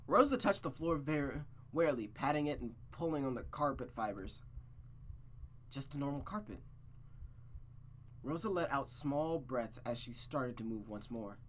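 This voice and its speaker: Man, game streamer, dramatic read-aloud narration, casual reactive asides, stumbles and rereads, noisy ambience behind. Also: medium pitch. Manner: expressive, reactive, sweary, stumbles and rereads lines. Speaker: streamer reading a visual novel aloud.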